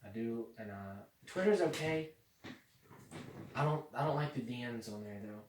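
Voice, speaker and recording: Rambling young podcast host in an echoey room. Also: male voice, mid-range voice, moderate pace. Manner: rambling, filler-heavy, excited about social media. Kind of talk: casual chat. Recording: echoey room, mic at a distance, faint noise.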